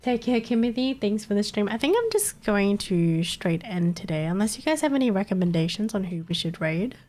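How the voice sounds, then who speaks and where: A woman's voice: medium pitch, very animated, fairly quick, a little mellow. Chatty streamer woman with a cold. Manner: rambling, laughs often, swears casually. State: recovering from a cold, slightly nasal. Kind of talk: casual late-night stream chat.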